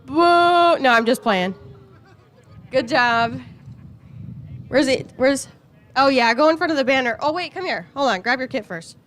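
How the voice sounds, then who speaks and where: A woman with a high voice announcing a raffle to a gathered crowd, playful and teasing as she reads out ticket numbers slowly. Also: expressive, a bit bright. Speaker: raffle announcer at a race event.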